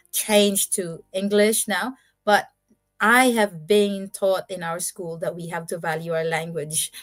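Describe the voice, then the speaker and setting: A middle-aged woman from the Philippines, a native Ilonggo speaker, hosting a podcast and giving earnest advice with some hesitant fillers. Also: lowish voice. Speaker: podcast host. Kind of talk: casual podcast chat and closing remarks.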